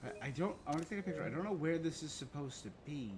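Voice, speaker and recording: A man with a slightly high voice gives casual live commentary on a game. He thinks aloud in tentative questions and fragments. There is faint noise behind him. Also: expressive, quick pace, darkish tone.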